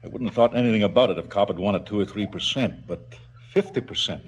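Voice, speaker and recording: An actor playing a cynical, brooding crime boss in a stylised radio drama, sardonic and businesslike about killing. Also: male voice, on the low side, fast talker, breathy edge. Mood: quiet menace.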